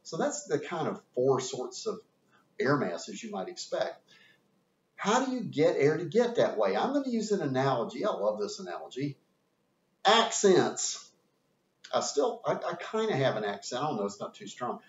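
A man's voice: mid-range voice, normal speed. A man in his fifties with a Southern US accent, giving a folksy classroom lecture as a teacher in a room with a whiteboard. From American South. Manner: animated, folksy, gesturing with hands. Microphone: camera at a distance. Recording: roomy, distant, dull webcam sound.

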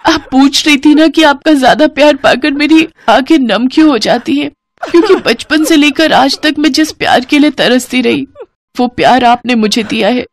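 A woman's voice: high voice, moderate pace, voice pushed up. A woman voicing a timid, tearful young daughter-in-law who pleads and falters in a cartoon story. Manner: pleading, stammering.

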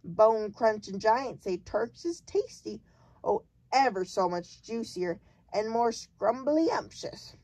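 Lady reading aloud and putting on a big booming giant guy, loud and jolly, slow and drawn out, twisting his words into silly made-up ones. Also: animated, breathy edge, no echo, heavily acted. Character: huge booming male giant, jolly and ungrammatical.

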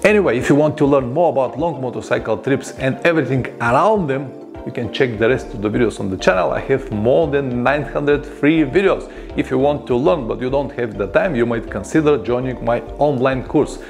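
Male vlogger, 40s, Bulgarian accent, mid-pitched, lapel mic in a home garage. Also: a little fast, gravelly, faint music behind. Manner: animated, gestures a lot, chatty with non-native grammar. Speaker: motorcycle travel vlogger. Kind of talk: casual storytelling to camera. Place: home motorcycle garage workshop, indoors.

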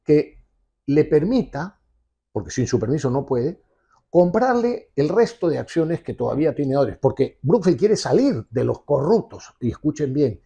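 A man's voice: a little high, big pitch swings, normal speed, vocal fry, dark tone, breathy edge, muffled recording. A man in his sixties, a television host, giving emphatic and insistent political commentary in an office, recorded on a lapel mic. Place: indoor office with leather sofa.

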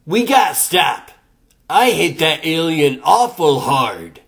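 A man voicing an excitable, jumpy teenage sidekick boy in an amateur comic-book dub. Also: fairly high pitch, slow, gravelly, heavily acted. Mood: wary. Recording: loud music under the voice. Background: loud music.

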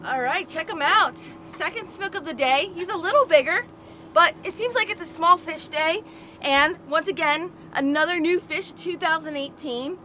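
An American woman in her thirties chatting casually and excitably as a fishing host, recorded outdoors on a small boat. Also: high voice, a little hiss or hum. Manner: excitable, exclamatory, informal. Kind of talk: casual vlog chat, explaining to viewers. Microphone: action camera at arm's length or a few feet away.

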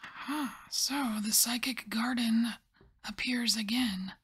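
Breathy whispering woman reading tarot. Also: fairly high pitch. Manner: soft whispering, musing and unhurried. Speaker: tarot reader.

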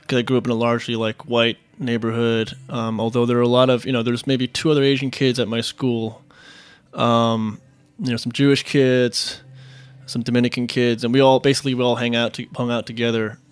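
A man in his thirties from Queens, answering interview questions in a relaxed, halting way with many fillers. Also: medium pitch, smooth. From Queens, New York. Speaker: restaurant managing partner, guest.

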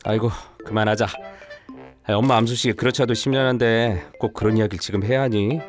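A man's voice: on the low side, steady tone, fast, lightly acted. A man playing a dutiful husband and devoted son, mildly reproachful, in a dramatized story video. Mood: weary. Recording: music behind the voice.

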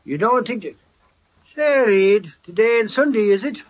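Husky, high blustering sidekick. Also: male voice, lively intonation, moderate pace. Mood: flustered bluster. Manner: blustery, folksy exclamations. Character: blustering, comic, slow-witted male sidekick. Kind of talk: old-time radio comic acting. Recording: lo-fi vintage radio.

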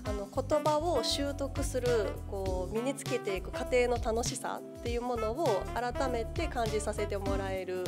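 A woman's voice: fairly high pitch, moderate pace, few pauses, loud music behind the voice. A woman who works as a radio personality, speaking politely as she gives interview answers. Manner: polite, well-mannered. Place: meeting room.

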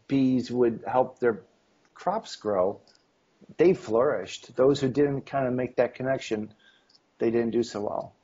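A man's voice: medium pitch, a little mellow, breathy edge. A middle-aged man with a slight cold, talking on a video call as an interview guest, rambling with many ums and acting out quoted dialogue. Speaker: interview guest, esoteric history author. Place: home room on video call. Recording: dull lo-fi video call audio.

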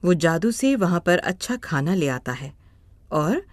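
A woman's voice: fairly low pitch, sing-song, measured pace, dry recording, clean studio sound. A woman narrating a scripted moral story as its storyteller.

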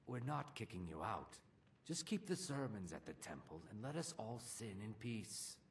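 Firm low-voiced tavern keeper, very animated. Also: male voice, normal speed, gravelly, distant mic, a little hiss or hum. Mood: firm, placating. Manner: reasoning, weary. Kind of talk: fantasy video game acting.

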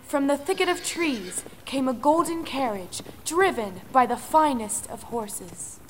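A woman's voice: high-pitched, expressive, unhurried. A woman telling a folktale as dramatic audio play narration. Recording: reverberant, faint music underneath.

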